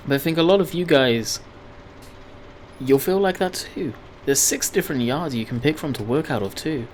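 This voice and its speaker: A man with a slightly croaky voice giving rambling, chatty gameplay commentary, often correcting himself mid-sentence. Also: medium pitch, a little hiss or hum. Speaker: gaming video host.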